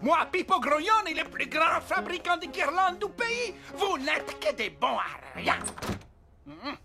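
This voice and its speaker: An excitable cartoon factory boss, with an extremely high voice. He is pompous and exclamatory, swinging widely in pitch over clearly audible music. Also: measured pace, exaggerated voice. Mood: outraged. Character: excitable, blustering Italian factory boss, a grown man. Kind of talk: animated cartoon acting. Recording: music behind the voice.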